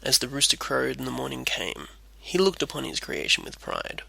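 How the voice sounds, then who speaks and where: A man's voice: mid-range voice, wildly swooping pitch, moderate pace, vocal fry, sharp tone, slightly rough, no echo. A man reading a scripted storybook tale as its narrator.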